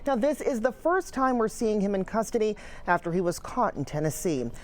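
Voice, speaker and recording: A woman's voice: mid-range voice, very animated, fairly quick, a little mellow. An American woman giving a scripted live news report from a newsroom.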